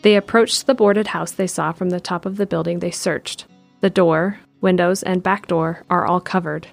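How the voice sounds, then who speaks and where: A woman giving steady scripted narration of an audio drama in the present tense. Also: on the low side, normal speed, gravelly, faint music behind.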